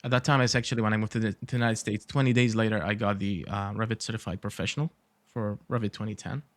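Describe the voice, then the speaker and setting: A man's voice: lowish voice, steady tone, fast, dry recording. Thoughtful Iraqi-born man, dry sound. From Iraq. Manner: thoughtful, many fillers, dry humor. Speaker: podcast guest, architect. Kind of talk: casual interview talk.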